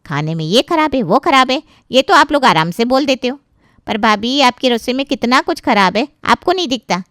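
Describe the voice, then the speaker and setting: A woman's voice: a little high, animated, fairly quick, a bit bright, dry recording, clean studio sound. A woman playing a brash, defiant hired cook who complains and talks back in a family story. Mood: blaming. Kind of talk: dramatized Hindi family story dialogue.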